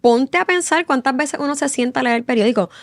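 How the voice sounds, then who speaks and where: A woman's voice: high voice, fast talker, no echo. Puerto Rican woman, dry close sound. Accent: Puerto Rican Spanish. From Puerto Rico. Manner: storytelling, mixes in English phrases. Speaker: guest journalist. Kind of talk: casual interview conversation.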